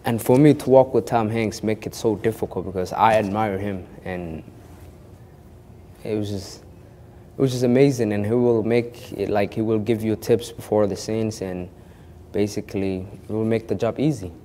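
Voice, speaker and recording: Young man with a mellow low voice. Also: steady tone, normal speed, long reverb tail, slight background noise. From born in Kenya of a Somali family. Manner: rambling, many restarts and "you know". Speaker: actor interviewed. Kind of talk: casual storytelling interview.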